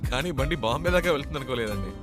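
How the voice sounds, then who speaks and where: A man's voice: medium pitch, expressive, rapid-fire, close to an everyday voice. A man acting an earnest young man in love on a road trip, in naturalistic film dialogue. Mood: polite, earnest. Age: young adult. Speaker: film actor. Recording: film dialogue with music behind. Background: music.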